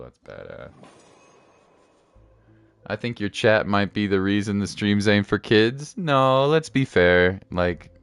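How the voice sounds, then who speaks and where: Man, deep voice, animated intonation, casual game livestream, playful repetition, game music behind. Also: clean tone, dry recording. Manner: playful, repeats phrases, drawn-out emphasis. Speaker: game streamer. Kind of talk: casual livestream commentary over gameplay.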